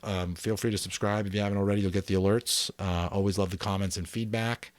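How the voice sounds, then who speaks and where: A man's voice: fairly low pitch, fast talker, no room sound. A man narrating a novel as a dramatic audiobook reading, then chatting casually to his viewers with fillers. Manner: expressive reading, casual rambling asides with fillers. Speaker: audiobook narrator and channel host.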